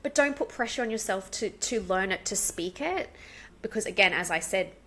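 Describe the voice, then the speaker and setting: Fast-talking Australian woman, gravelly. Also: medium pitch, a little hiss or hum. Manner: fast and animated. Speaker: English coach, podcast guest. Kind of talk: casual interview chat.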